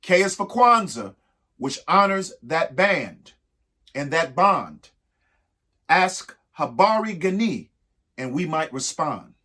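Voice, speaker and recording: A husky-voiced man reading a rhyming picture book aloud expressively, emphatic, with pauses between short phrases. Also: a little high, sing-song, sharp tone. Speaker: reader of a children's picture book. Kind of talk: expressive read-aloud of a rhyming alphabet book with spoken asides.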